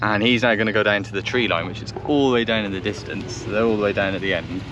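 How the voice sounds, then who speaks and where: Male vlog presenter, low voice, casual and hesitant, faint vehicle noise behind him outdoors. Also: fast. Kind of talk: casual to-camera commentary and radio check chat. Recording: noisy outdoor vehicle background.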